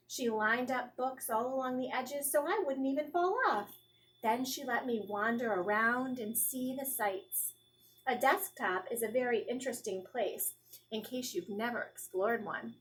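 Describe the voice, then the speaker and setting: Lady reading a kids book out loud, kinda high voice, teacher style, acts out the lines. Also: low-quality recording. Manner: animated, acting out the dialogue. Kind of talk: expressive read-aloud of a children's storybook. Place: small classroom, indoors. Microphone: phone or tablet camera at about arm's length.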